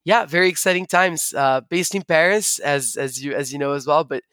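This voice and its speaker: A man's voice: a little high, normal speed, fairly bright tone, dry recording. A young man from Brazil who also grew up in the United States, explaining his sales work as an interview guest. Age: young adult.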